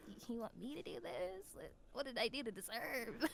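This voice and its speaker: A woman giving a casual interview as a voice actor, telling stories in an animated, giggly way. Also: a little high, moderate pace, creaky. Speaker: voice actor, podcast guest.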